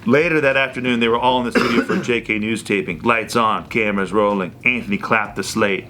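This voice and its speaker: A man's voice: on the low side, brisk, background noise. A man giving an amused, theatrical reading of fan fiction at a table read. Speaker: reader of the story narration.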